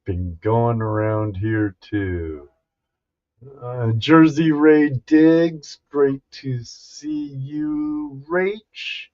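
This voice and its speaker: A man hosting a casual livestream, greeting viewers one by one in a folksy, halting way. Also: medium pitch, sing-song, creaky. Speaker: livestream host. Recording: dull, lo-fi livestream audio.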